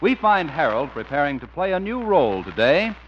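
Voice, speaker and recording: A man's voice: fairly high pitch, sing-song, fairly quick, a little hiss or hum. A man announcing and narrating a scripted 1950s radio show. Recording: muffled 1950s radio recording. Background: music.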